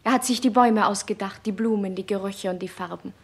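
A woman's voice: medium pitch, steady tone, quick pace, breathy edge. An actress in a 1960s German radio play playing a tender, devoted young queen, earnest and lamenting. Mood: loving, grieving.

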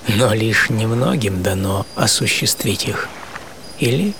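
A man acting a hardy, serene seventy-year-old sailor in an audio play monologue, reflective and intimate, with savoring pauses. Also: lowish voice, animated, vocal fry, noisy background. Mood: wistful, earnest. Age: 70s. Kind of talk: first-person dramatic monologue in an audio play. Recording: music behind the voice.

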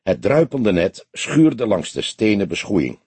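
A man's voice: lowish voice, big pitch swings, a little slow, darkish tone, slightly rough, no room sound. A man narrating a scripted crime story as an audiobook reader.

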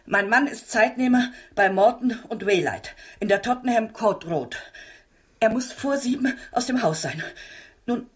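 An indignant, high-pitched landlady. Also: breathy edge. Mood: aggrieved. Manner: agitated, insistent, repeats herself. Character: indignant, flustered landlady at the end of her patience. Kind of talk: German radio drama acting.

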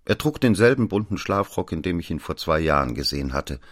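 A man playing a stern investigating judge who narrates the story in the first person, measured and descriptive. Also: low voice, big pitch swings. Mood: tired. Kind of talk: scripted radio drama narration. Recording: studio radio play with faint music.

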